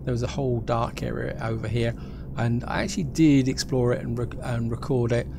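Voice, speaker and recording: Gravelly man with a cold narrating gameplay. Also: brisk. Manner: relaxed, explains step by step, often restarts phrases. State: has a cold. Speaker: gameplay video host. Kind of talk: casual gameplay commentary. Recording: loud game engine rumble behind the voice. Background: loud vehicle and engine rumble from the game.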